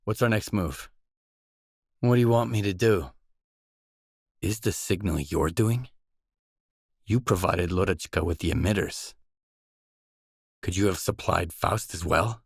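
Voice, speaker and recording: A wary stalker from a video game, a blunt loner with a low voice. He talks in terse, matter-of-fact questions and short replies. The recording is dry and close, with no room on it. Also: measured pace, vocal fry, long pauses, breathy edge, no echo, full character voice. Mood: guarded, businesslike. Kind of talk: video game dialogue lines.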